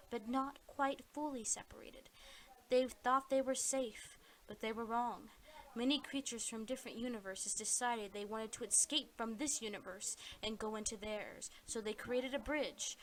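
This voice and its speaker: A woman voicing a calm, grave male celestial attendant, explaining in a measured way in an amateur audio play. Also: fairly high pitch, low-quality recording.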